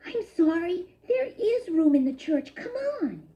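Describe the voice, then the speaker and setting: A woman voicing an eager young boy church mouse in a childlike, excitable way for a children's story. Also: very high voice, unhurried, highs cut off, voice pushed up, heavily acted. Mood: urgent, excited.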